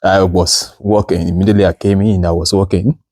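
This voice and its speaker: A man's voice: low voice, little pitch movement, a little fast, darkish tone, no echo, studio quality. A man from Nigeria in his thirties, a guest telling his story in a casual interview, hesitant with many fillers and restarts.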